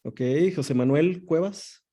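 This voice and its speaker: A man moderating a video call, calling on each participant in turn with brisk, short prompts. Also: fairly high pitch, warm tone.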